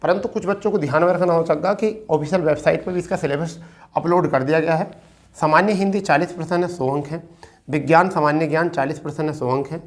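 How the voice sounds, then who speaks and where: A man's voice: on the high side, fast talker. A man teaching exam students in an informal explainer style, repeating points and often checking that listeners follow. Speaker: exam-prep teacher and presenter.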